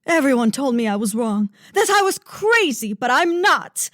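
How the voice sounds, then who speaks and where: A woman's voice: high-pitched, very animated, moderate pace, no echo, studio quality. A woman playing a distraught, melodramatic widow in an old-time radio detective drama. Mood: distraught, pleading. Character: melodramatic, distraught noir widow, breathlessly sincere.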